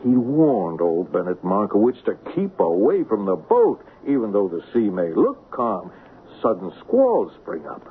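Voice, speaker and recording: An actor playing a smug, persuasive American swindler in a radio drama, coaxing in a conversational way. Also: male voice, on the low side, very animated, measured pace. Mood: smug, cold. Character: fast-talking male swindler, smug, persuasive schemer. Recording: tinny, noisy old radio broadcast.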